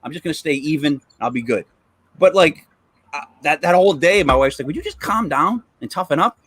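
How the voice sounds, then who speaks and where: A man's voice: fairly high pitch, animated, fairly quick, fairly bright tone, breathy edge, no room sound. A man co-hosting a podcast, chatting casually and telling stories with lots of fillers. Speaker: podcast co-host. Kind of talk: casual chat.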